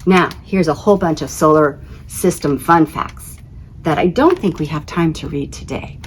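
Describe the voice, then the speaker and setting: A woman reading aloud as a school librarian in an expressive children's storybook style, with emphatic phrasing. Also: sits low, normal speed, slight background noise.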